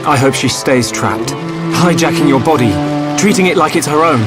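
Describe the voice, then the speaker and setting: An actor playing an earnest, protective young hero in a video game cutscene, speaking with sincere intensity. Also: male voice, a little high, moderate pace, loud music behind the voice, lightly acted. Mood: bitter, disbelieving.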